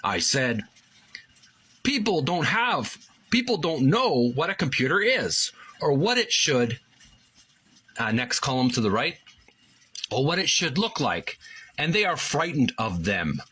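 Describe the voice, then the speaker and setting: A man's voice: a little high, sing-song, unhurried, pauses often, pushed and bright, breathy edge. A man hosting his own channel, recovering from a cold and casually reading a book aloud, rambling with many fillers. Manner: rambling, filler-heavy, self-deprecating. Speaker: host.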